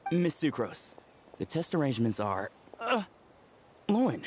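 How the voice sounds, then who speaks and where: A nervous young trainee medic in a fantasy game, with a lively, wavering pitch. He is polite, hesitant and awkward. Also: a little slow, slight background noise. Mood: flustered. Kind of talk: video game character acting.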